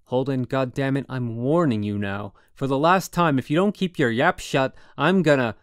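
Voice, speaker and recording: A man voicing a big, bossy young roommate who shouts threats in a heated temper. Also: mid-range voice, a little slow, dry recording, studio quality, lightly acted. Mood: angry shouting. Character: hulking angry young male roommate, bossy.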